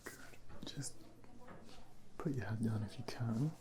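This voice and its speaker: A man whispering gently and reassuringly in an unhurried ASMR role play as a junior doctor, with a breathy, creaky edge, close to a binaural mic. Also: lowish voice, background noise. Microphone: close binaural microphone.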